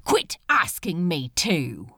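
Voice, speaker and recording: A woman's voice: low-pitched, huge pitch swings, slow pace, a bit bright, husky, dry recording, studio quality, voice pushed down, exaggerated voice. A woman voicing an anguished, defiant male demon in a story, with emphatic, insistent outbursts. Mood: desperate defiance. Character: defiant, anguished male demon refusing to leave his beloved.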